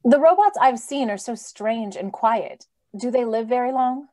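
A woman's voice: fairly high pitch, expressive, a little slow, dark tone, dry recording. A woman acting an idealistic, easily indignant lady visitor in an old-time radio drama, earnest and theatrical. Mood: curious, polite. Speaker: actor. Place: indoors on a video call.

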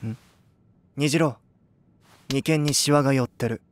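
An actor playing a calm, serious, dependable young male band vocalist in an anime voice drama, composed and matter-of-fact. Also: medium pitch, a little slow, no room sound, natural voice. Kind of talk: Japanese anime voice drama acting.

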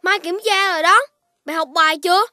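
Very high-voiced puppy boy. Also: fairly even intonation, a little fast, pushed and bright. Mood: concerned. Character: polite, earnest young Dalmatian puppy boy. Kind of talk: children's cartoon dubbing. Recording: children's music behind the voice.

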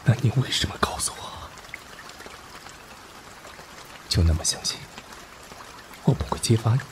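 A man's voice: lowish voice, lively intonation, quick pace, noisy background, natural voice. A man playing a passionate, betrayed young army officer in an emotional radio drama. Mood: subdued pleading. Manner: impassioned, breaking into bitter laughter and hushed pleading.

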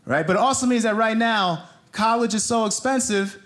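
An American man in his thirties from New Jersey performing a conversational stand-up routine, with deliberate pauses and acted-out quotes. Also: high voice, a bit bright. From New Jersey, United States. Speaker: stand-up comedian. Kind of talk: live stand-up comedy routine. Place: theater stage in front of an audience. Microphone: handheld microphone. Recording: live stage mic with audience noise.

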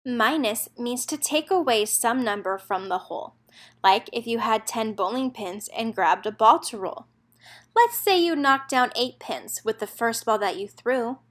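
A woman's voice: on the high side, expressive, unhurried, fairly bright tone. A woman narrator reading a children's picture book aloud, expressively and in a rhythmic lilt of rhyming verse.

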